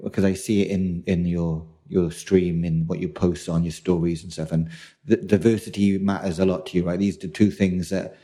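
A man's voice: low-pitched, flat delivery, moderate pace, dark tone. A middle-aged man from South Wales co-hosting a casual podcast chat, rambling and restarting as he hunts for words. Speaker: podcast co-host.

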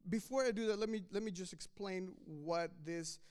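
A man's voice: high voice, moderate pace, darkish tone, smooth. A man from Mexico, preaching a sermon in a conversational way, often restarting and repeating words. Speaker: pastor.

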